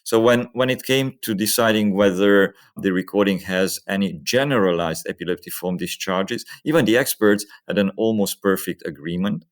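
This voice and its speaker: A man's voice: on the low side, normal speed, few pauses. A man being interviewed as a medical researcher, explaining his work methodically, step by step.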